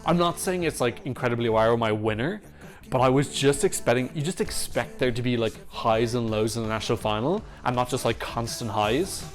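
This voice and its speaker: Excitable man reacting to songs. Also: medium pitch, a little fast. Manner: chatty and excitable, swears casually. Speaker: Eurovision reaction YouTuber. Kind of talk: casual reaction commentary. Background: faint music playing.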